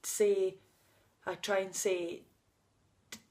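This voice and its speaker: A woman's voice: medium pitch, flat delivery, slow, mellow. A woman in her thirties with a stutter who blocks on first sounds, talking openly and emotionally in a personal vlog. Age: 30s. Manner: unscripted, emotional, laughing at times. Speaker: vlogger. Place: outdoors on a sunny day.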